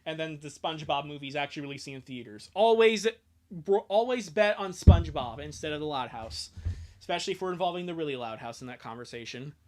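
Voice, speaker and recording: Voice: medium pitch, lively intonation, fast talker, a little mellow. A live-stream dub performer speaking casually between readings. The commentary is sarcastic and jokey, with loose swearing thrown in.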